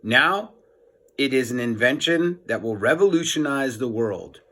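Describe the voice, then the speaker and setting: A man's voice: medium pitch, expressive, unhurried, sharp tone. A man voicing an earnest, boastful nerdy boy inventor who explains things grandly and emphatically in a children's storybook. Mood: proud explaining.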